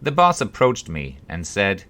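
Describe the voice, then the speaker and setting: A man reading his own story as an audiobook, in a wry, dryly humorous way with dramatic pauses. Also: lowish voice, huge pitch swings, sharp tone. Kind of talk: scripted audiobook narration of a memoir-like story.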